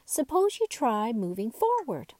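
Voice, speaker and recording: A woman's voice: on the high side, wildly swooping pitch, measured pace, mellow, dry recording, heavily acted. Woman doing a kind, cheerful rag doll boy. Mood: encouraging.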